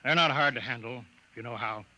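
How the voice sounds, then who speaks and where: A very sharp, forceful man's voice a gruff senior official in a vintage 1950s radio drama. He is brusque and bossy, speaks in clipped slang and bullies whoever is under him. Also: medium pitch, fast talker, poor audio. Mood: scornful. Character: gruff, bullying, bigoted senior colonial official.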